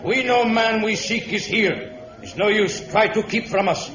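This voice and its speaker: Angry jungle chief in an old radio serial, solemn broken english, high voice, echoey dull sound. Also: male voice, unhurried, noisy background. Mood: stern, solemn. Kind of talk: old-time radio adventure acting.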